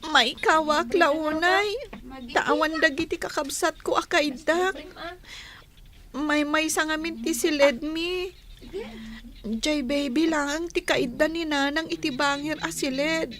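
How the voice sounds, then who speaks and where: A woman's voice: high voice, steady tone, takes it slowly, fairly bright tone. An actress playing a plaintive, pleading young girl who feels hurt, in a radio drama. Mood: sad.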